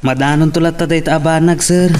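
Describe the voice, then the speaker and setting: An actor with an Ilocano accent playing a humble, polite driver who speaks hesitantly and deferentially in a radio drama. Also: male voice, fairly high pitch, steady tone, quick pace, darkish tone, recorded from a distance, soft background music, lightly acted. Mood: meek. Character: humble male driver, shy, polite, earnest.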